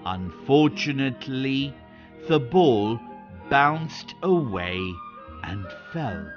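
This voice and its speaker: Children's storyteller, scripted fairy tale, extremely unhurried and lilting, music underneath. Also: mid-range voice, dull audio.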